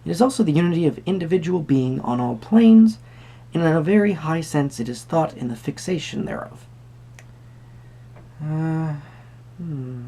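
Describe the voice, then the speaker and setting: A man playing an eccentric, courtly tarot card reader, speaking softly and politely to the listener with theatrical, rambling musings and murmured asides in a husky, breathy voice. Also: fairly high pitch, animated, normal speed, long pauses, slight background noise. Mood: pensive, mildly fussy. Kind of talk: soft-spoken tarot reading roleplay to the viewer.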